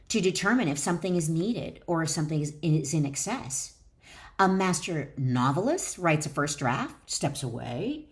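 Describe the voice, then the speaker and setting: Lower-pitched woman reading and chatting instructively. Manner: emphatic, digressive, instructional. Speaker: author and wellness educator. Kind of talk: reading own book aloud with chatty asides.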